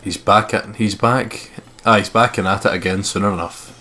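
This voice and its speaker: A man's voice: on the low side, fairly even intonation, moderate pace, bright, forceful tone. A man co-hosting a let's play, mixing casual, sweary, joking banter with reading the game's text aloud.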